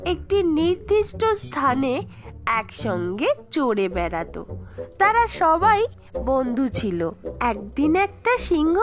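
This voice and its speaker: A woman with a strikingly high voice tells children's tales from a script. Her delivery is slow and deliberate, set out phrase by phrase. Music plays behind her. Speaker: storyteller narrator.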